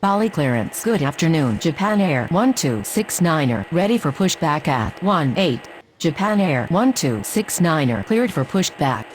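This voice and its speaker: Deep-voiced woman as formal airport radio operator. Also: very animated, a little slow, a little hiss or hum. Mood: businesslike. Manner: formal, formulaic phrasing. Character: procedural female pilot and ground controller. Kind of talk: scripted aviation radio calls.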